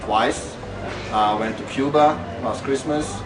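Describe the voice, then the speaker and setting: A man answers interview questions in a relaxed, joking way. His voice sits at a middling pitch. The mic is set back in a reverberant room, and loud music runs underneath. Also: measured pace. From Serbia. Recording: roomy, distant mic, loud music bed.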